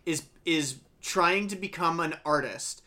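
A podcast co-host chatting casually about anime, agreeing often with quick interjections. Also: medium pitch, sharp tone. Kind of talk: casual anime review chat.

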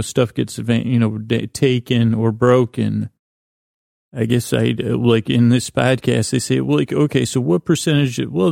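A man hosting a bedtime podcast with a creaky voice, rambling in a halting, self-deprecating way that wanders and breaks off mid-word. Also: mid-range voice, a little mellow, no room sound, studio quality. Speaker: podcast host. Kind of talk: rambling bedtime monologue to help listeners sleep.

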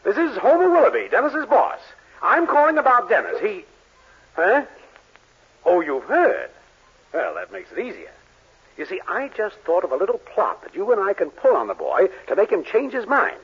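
Husky, high, wildly swooping blustery boss. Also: male voice, wildly swooping pitch, normal speed, heavily acted. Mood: scheming. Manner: theatrical, fussy, gushing. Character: excitable, scheming, blustering middle-aged male boss. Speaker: actor in a radio sitcom. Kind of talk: 1940s radio comedy acting. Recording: muffled vintage 1940s radio recording.

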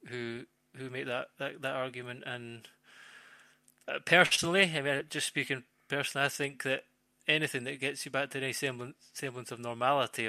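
Bright-voiced man, halting and reflective. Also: medium pitch, no echo. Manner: halting, repeats words, searching for phrasing. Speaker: podcast panellist. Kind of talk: casual reflective discussion.

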